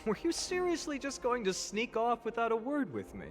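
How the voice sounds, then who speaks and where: A man's voice: very high-pitched, wildly swooping pitch, normal speed, heavily acted. A man playing a nonchalant young hero in a video game, casual and wry with an earnest side. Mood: teasing. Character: nonchalant young male hero, teasing yet sincere. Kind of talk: dramatic video game voice acting. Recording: game dialogue over loud music.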